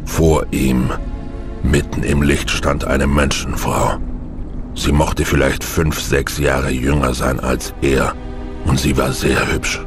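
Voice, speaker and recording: A man's voice: rumbling low, little pitch movement, takes it slowly. A man narrating a science fiction audio drama in a dramatic, scripted style. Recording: music behind the narration.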